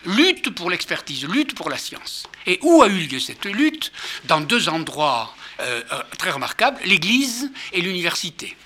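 A man's voice: high-pitched, sharp tone. An older man giving a lecture to an audience, hesitant with many fillers and restarts, and fond of rhetorical questions. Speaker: author and lecturer.

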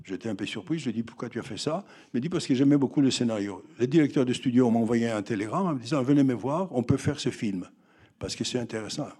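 An older man looks back on his early career in a relaxed, mellow voice. He talks haltingly, with many fillers and restarts. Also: medium pitch, husky. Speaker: film director interviewed. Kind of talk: reminiscing interview answers.

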